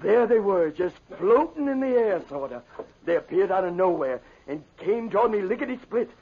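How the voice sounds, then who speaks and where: An actor playing a frightened, superstitious cowboy with a folksy, excitable way of talking in a 1950s western radio drama. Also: male voice, high voice, moderate pace, slightly rough, exaggerated voice. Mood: excited, awed. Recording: dull, noisy old radio recording.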